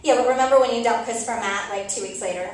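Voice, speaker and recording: A woman's voice: on the high side, fast, lightly acted. A woman playing a brash, spiteful young woman in a staged comic play reading, one-upping her frenemy with casual, emphatic, profane talk. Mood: needling. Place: live reading in a tavern. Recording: echoey live room, distant mic, faint noise.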